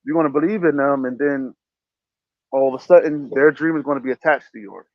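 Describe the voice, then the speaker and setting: A man's voice: mid-range voice, a little fast, no room sound. A man hosting a casual talk show, giving motivational advice in an emphatic, preachy way and repeating his phrases. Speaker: podcast host. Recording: dull lo-fi sound.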